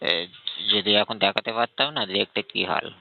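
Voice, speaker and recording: A man with a gravelly voice chatting casually and intimately, in a complaining, coaxing way, over a tinny phone line. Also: on the low side, a little fast, dry recording.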